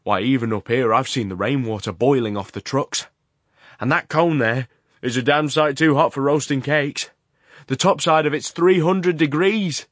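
A man's voice: medium pitch, moderate pace, no room sound, studio quality, pitched above the performer's own voice, lightly acted. A man voicing a furious, bawling middle-aged ironmaster in a dramatic audiobook reading, his voice raised to a savage bellow. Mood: bellowing menace.

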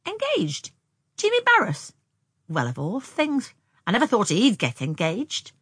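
A woman voicing a brisk, blunt and curious young country girl in colloquial, exclamatory audiobook dialogue. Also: fairly low pitch, big pitch swings, breathy edge, no room sound. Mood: astonished.

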